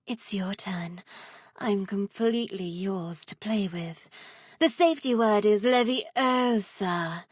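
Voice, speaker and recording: A seductive dark sorceress for a video game, speaking at a leisurely, savoring pace. She is teasing and theatrical, with a wicked streak and a tendency toward breathy softness. The sound is close and dry. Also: a little high, no echo. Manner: sultry, teasing, theatrical, slips into breathy whispers. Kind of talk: video game character voice lines.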